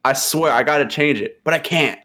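A man's voice: a little high, fairly quick, sharp tone. A man in his early twenties chatting casually as a podcast guest, laid-back and full of slang. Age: 20s. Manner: laid-back slangy banter, says bro a lot. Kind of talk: casual chat.

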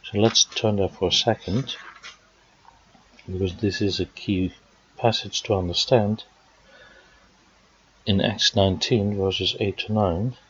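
A man from the Netherlands with a Dutch accent, preaching a sermon and working through scripture verse by verse, pausing with fillers as he explains. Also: on the low side, breathy edge. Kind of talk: sermon teaching. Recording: dry, dull lo-fi sound.

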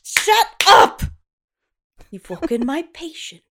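A woman's voice: very high-pitched, sing-song, slow, fairly bright tone, exaggerated voice. A woman playing a pompous, flustered female doctor in a campy old-style radio horror melodrama. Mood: alarmed, scolding. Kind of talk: campy 1930s radio drama table read.

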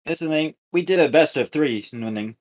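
A man co-hosting a podcast, telling a story casually with halting, repetitive, circling phrasing. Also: fairly high pitch, lively intonation. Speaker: podcast co-host.

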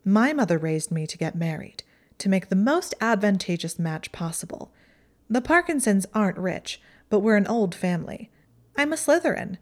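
A woman voicing a haughty, sharp-tongued teenage witch girl in a storybook reading, with a mocking, high-reaching delivery. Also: big pitch swings, moderate pace, natural voice. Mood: bitter. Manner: mocking, acidic, voice rises high.

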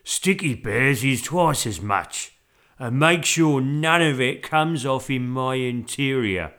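A man acts out a sour London cab driver from a children's storybook. He growls his grievances slowly and loudly, grudging every word. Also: medium pitch, expressive. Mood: gruff. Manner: slow, grudging delivery. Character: gruff, bitter, grumbling male London taxi driver.

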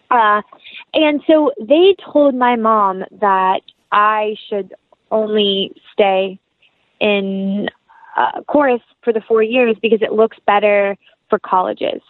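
A woman's voice: medium pitch, dry recording, narrow, tinny audio. A woman giving conversational interview answers as a guest actress, reflective and earnest, often telling little anecdotes.

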